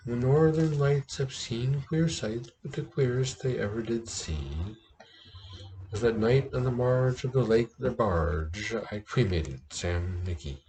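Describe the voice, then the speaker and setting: A man's voice: medium pitch, slow pace, mellow, a little hiss or hum, rough recording. A man giving a theatrical dramatic reading of a narrative poem, swelling and dropping in intensity as he tells it. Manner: theatrical storytelling with big swells and drops in intensity. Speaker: reader narrating a ballad.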